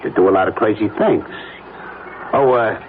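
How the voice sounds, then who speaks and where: An actor playing a falsely chummy small-time blackmailer photographer in an old-time radio drama, with folksy uneducated talk and oily friendliness. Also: male voice, lowish voice, normal speed, close to an everyday voice. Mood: smug, needling. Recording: tinny band-limited radio recording, faint noise.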